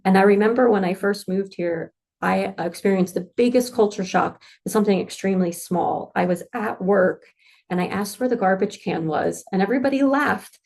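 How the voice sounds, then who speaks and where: A woman's voice: mid-range voice, gravelly, darkish tone. A woman with a North American accent presenting a pre-departure information session for students going abroad, friendly and informative with frequent fillers. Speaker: international office staff presenter.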